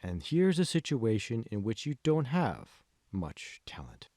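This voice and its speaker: Man voicing a slow, hushed, manipulative mentor. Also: mid-range voice, huge pitch swings, dry recording, clean studio sound. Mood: condescending. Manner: slow, deliberate, probing questions, hushed. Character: calm, manipulative male mentor, slyly seductive and condescending. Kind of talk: dramatic audiobook dialogue.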